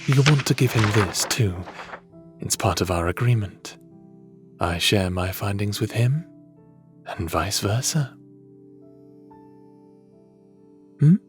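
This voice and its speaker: A man plays a calm god of the underworld in an ASMR fantasy roleplay. He speaks slowly in a low voice, measured and gently teasing, fond of the one he addresses. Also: music in the background. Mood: reassuring, fond. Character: calm, affectionate male god of the underworld, brother of Poseidon.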